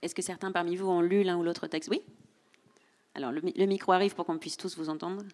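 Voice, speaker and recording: A woman's voice: fairly low pitch, fairly even intonation, brisk, a little mellow. A woman moderating a public book discussion, playful and teasing, laughing often as she questions guests and audience. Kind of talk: hosting a live public discussion. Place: public event room with audience.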